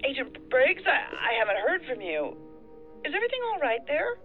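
An actress playing a frustrated, self-doubting federal agent, earnest and pointed, heard through a phone filter in an audio drama. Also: female voice, high-pitched, huge pitch swings, a little fast. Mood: uneasy. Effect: telephone filter. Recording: tinny phone line, faint music behind.

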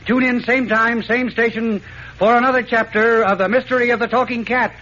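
A man's voice: very high voice, fairly even intonation, fairly quick, bright, forceful tone. A man narrating a 1940s radio serial in a dramatic, suspenseful scripted style. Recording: dull old radio recording, faint noise.

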